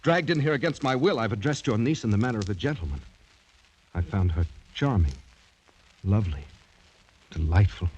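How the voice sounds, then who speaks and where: An actor playing a gallant, proud young gentleman in a radio drama, speaking in a courtly, stiffly formal way. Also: fairly low pitch, animated, moderate pace, pauses often, breathy edge, dull audio. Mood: defiant. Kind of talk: 1940s radio drama acting. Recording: noisy old radio recording.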